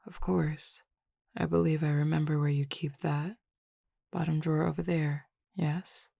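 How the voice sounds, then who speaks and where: A woman voicing a composed, formal supernatural cupid roommate who speaks in precise, measured words and teases gently. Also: low voice, little pitch movement, creaky, slightly rough, dry recording, clean studio sound, exaggerated voice. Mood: calm. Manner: precise, formal wording, measured and unhurried, soft asides. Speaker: voice actor in an audio roleplay. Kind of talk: audio roleplay addressed to the listener.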